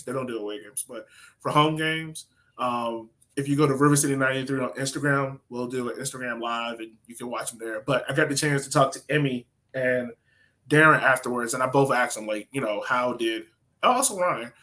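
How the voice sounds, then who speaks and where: Bright-voiced American man, chatty sports podcaster. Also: medium pitch. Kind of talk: casual soccer match breakdown.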